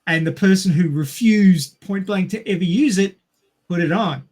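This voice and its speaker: A man's voice: high voice, moderate pace, darkish tone. A middle-aged man co-hosting a podcast, casually discussing a film in an emphatic, opinionated way. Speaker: podcast co-host.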